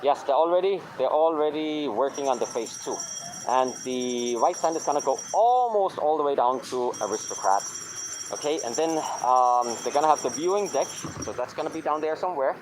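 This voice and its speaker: A man's voice: a little high, lively intonation, a little fast, background noise. A man vlogging outdoors with a handheld camera, chatting casually as he walks a city beach, mixing English with a few Tagalog phrases. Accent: non-native Tagalog accent. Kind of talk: casual walking vlog commentary. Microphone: handheld camera, filming while walking.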